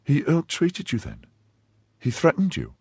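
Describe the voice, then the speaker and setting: A man voicing an indignant young wife who scolds her husband, pushing his husky voice higher. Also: wildly swooping pitch, a little slow, darkish tone, no room sound, full character voice. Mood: alarmed. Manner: scornful, pleading and scolding by turns. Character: young woman, indignant, fiercely loyal wife.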